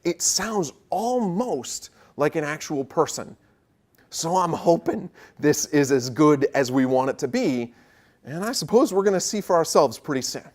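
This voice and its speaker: A tech video host with a medium-pitched voice talks in an excited, colloquial way. The delivery is emphatic, enthusiastic commentary addressed straight to viewers. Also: unhurried.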